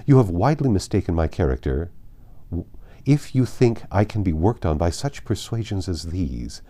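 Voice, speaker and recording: A man voicing a resolute, self-possessed young gentlewoman in an audiobook, speaking formally and firmly. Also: deep voice, very animated, moderate pace, voice pushed down. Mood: defiant. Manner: firm, formal, articulate. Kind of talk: dramatized audiobook reading.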